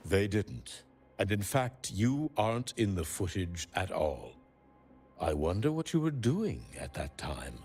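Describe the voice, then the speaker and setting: Suspicious game professor, really low voice but expressive, needling and smug. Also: male voice, slightly rough, faint music behind, full character voice. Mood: cold, accusing. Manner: measured, insinuating, condescending. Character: suspicious, needling male academy professor, coldly probing. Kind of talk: video game voice acting.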